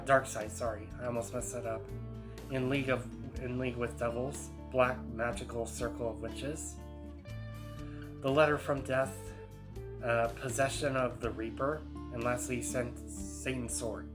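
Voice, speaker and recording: A man's voice: mid-range voice, fairly even intonation, a little slow, over music. A man in his early thirties, hosting a casual album ranking, rambling and hesitant with many fillers and restarts. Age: 30s. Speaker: YouTube host.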